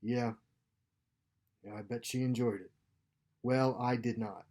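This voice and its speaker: Man voicing a subdued, mellow navy lieutenant. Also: medium pitch, fairly even intonation, measured pace, lightly acted. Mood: apologetic. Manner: reluctant, subdued, conciliatory. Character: uneasy, dutiful young-ranking male naval lieutenant. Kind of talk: short story read aloud.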